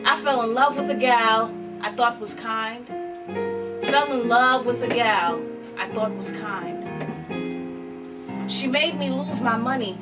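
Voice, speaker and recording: A woman dramatically reading verse as a world-weary poor young man, in a drawn-out, half-sung blues delivery. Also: a little high. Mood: regretful. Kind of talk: dramatic poetry reading over piano. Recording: distant mic, loud piano music.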